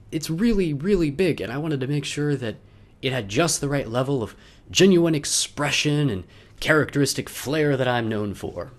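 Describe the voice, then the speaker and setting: Young man, mid-pitched voice, dramatic letter reading, emphatic repeats, halting phrasing, wry asides. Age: young adult. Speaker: video letter narrator.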